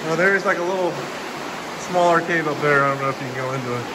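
Young male hiking vlogger, slightly high voice, loose rambling delivery, handheld in a cave, clear background hiss. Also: fast. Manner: relaxed, rambling, filler-heavy commentary. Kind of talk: casual vlog narration. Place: inside a cave. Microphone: handheld camera held by the speaker.

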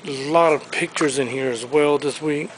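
A man with a slightly high voice walks a big warehouse showroom, rattling off furniture and collectibles in an upbeat salesman's patter. He is recorded on the camera he carries, with a faint hum of the space behind him. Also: poor audio. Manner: listing items briskly, upbeat salesman patter. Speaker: auctioneer. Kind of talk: walkthrough preview of auction items.

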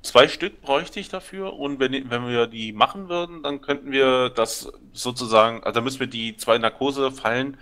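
A man's voice: mid-range voice, moderate pace, few pauses, sharp tone. A man chatting casually while playing a co-op survival game, rambling through explanations of game mechanics. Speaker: gameplay co-commentator. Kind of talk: casual co-op gameplay chat.

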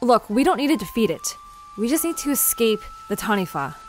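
A woman's voice: a little high, big pitch swings, fairly quick. A woman playing an anxious, impatient but practical young adventurer in naturalistic audio drama, hesitant and exasperated. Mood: anxious, reasoning. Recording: music under the voice.

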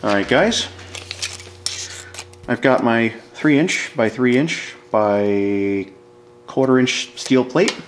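A man's voice: medium pitch, lively intonation, slow pace, long pauses, slight background noise. A man explaining a hands-on demonstration in a casual, informal way, as an instructor talking to viewers.

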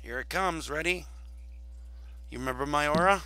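A man's voice: a little high, normal speed, pushed and bright. A man hosting and narrating a fantasy roleplay game with casual commentary. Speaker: roleplay video host and narrator. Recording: faint background noise.

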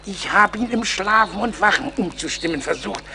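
High, creaky, pious psalm teacher. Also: male voice, animated, moderate pace, sharp tone, exaggerated voice. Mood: earnest. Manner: florid, unctuous, preacherly. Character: pious, pompous psalm-singing teacher, eccentric and harmless. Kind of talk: German radio play acting.

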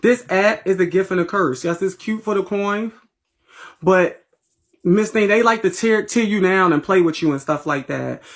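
A woman from Philadelphia with a raspy voice and a Philly accent, chatting bluntly and profanely to viewers on a phone livestream from her bedroom. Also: mid-range voice, moderate pace. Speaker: livestream host. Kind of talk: casual livestream chat. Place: bedroom at home, indoors. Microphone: phone at arm's length.

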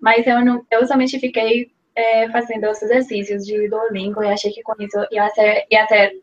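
A woman's voice: a little high, level tone, normal speed, dull audio. A young woman from Colombia, a native Spanish speaker with a Colombian accent, telling her story in a casual interview with many restarts. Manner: hesitant, many restarts and fillers, laughs easily. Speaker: guest, Portuguese learner. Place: small room at home.

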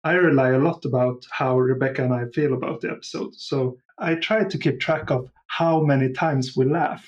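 A man giving measured, reflective answers as a casual interview guest. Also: medium pitch, highs cut off. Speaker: audio drama creator, guest.

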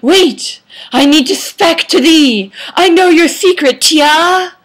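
An anguished young male vampire, put on by a woman reader, pitched high and loud. He is overwrought and melodramatic, declaiming in archaic phrases from a fan fiction story. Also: slow. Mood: urgent.

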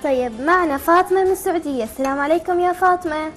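A woman's voice: very high voice, racing, soft background music. A woman hosting a chatty live TV call-in, asking simple, encouraging questions. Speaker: TV show host.

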